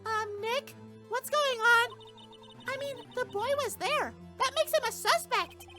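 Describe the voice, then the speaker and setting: A man's voice: very high-pitched, measured pace, over loud music, voice pushed up. A man voicing an eager, anxious young female assistant in a game, earnest and full of questions.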